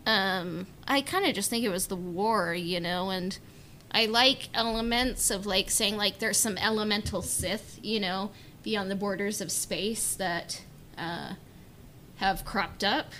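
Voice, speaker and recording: A woman's voice: mid-range voice, unhurried, bright, forceful tone. A woman chatting casually on a fan discussion podcast, informal and hedging, with illustrative asides. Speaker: podcast panelist. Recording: clear background noise.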